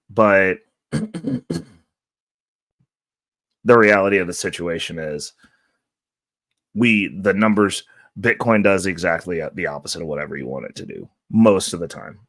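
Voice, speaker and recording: A man hosting a casual vlog, hoarse from losing his voice, talking in an upbeat, loose, rambling way. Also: low-pitched, a bit bright, no echo. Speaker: channel host. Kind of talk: casual market-update vlog.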